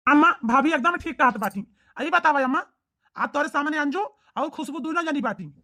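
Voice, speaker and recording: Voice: high voice, animated, brisk, sharp tone, heavily acted. A performer with an Awadhi accent voicing an earnest, dutiful young village man, insistent and pleading, in a cartoon comedy style.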